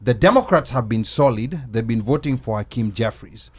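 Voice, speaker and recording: A man hosting a casual panel talk, animated and repeating words as he makes his point. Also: medium pitch, normal speed, breathy edge. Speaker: show host.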